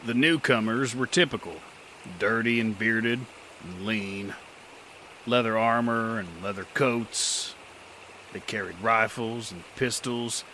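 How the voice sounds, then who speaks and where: A man's voice: lowish voice, sharp tone, slight background noise, poor audio. A man voicing a world-weary gunslinger. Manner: dry, terse, world-weary. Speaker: narrator and lead. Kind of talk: audio drama narration.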